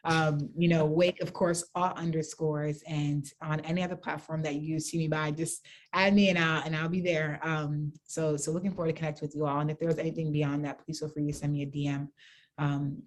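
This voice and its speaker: A young woman being interviewed over a video call, speaking earnestly and reflectively with frequent ums and soft trailing phrases. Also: low voice, little pitch movement, fairly quick. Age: young adult. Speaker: guest, author and basketball nonprofit founder. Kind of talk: virtual interview conversation.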